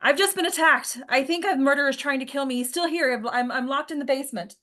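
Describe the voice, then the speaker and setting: Panicked female victim, read by an actress: raised pitch, terrified, urgent, horror film drama. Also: fast, pushed and bright. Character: terrified trapped woman calling for help.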